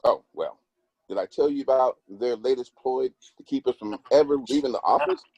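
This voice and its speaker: A man's voice: medium pitch, normal speed, darkish tone, husky, no echo, dull audio, close to an everyday voice. A man playing a busy, boastful long-distance boyfriend in a conversational script table read. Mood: casual, self-absorbed.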